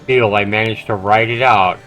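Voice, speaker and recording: Expressive male game streamer, music behind. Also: on the low side, normal speed, dull audio. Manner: dramatic reading with expressive, sweary reactions. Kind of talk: livestream let's play, reading game text aloud with commentary.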